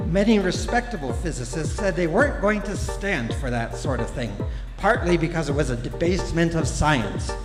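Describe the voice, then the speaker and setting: A man playing an erudite guidebook narrator, delivering dry, measured scripted narration in a live radio play. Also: fairly high pitch, lightly acted. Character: wry, erudite male narrator of a galactic guidebook. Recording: echoey hall with music behind.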